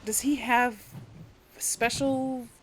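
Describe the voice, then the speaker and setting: A woman's voice: on the high side, steady tone, very slow, a little hiss or hum. A woman giving casual let's play commentary and role-play, musing and thinking aloud as she goes. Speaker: gamer, let's play host.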